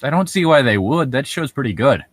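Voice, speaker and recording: A man's voice: mid-range voice, expressive, a little fast, no room sound. Casual young man joking, close dry sound. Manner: profane, giggly, rambling. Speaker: podcast co-host reading and riffing on horror stories. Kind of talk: casual joking chat with bits of reading aloud.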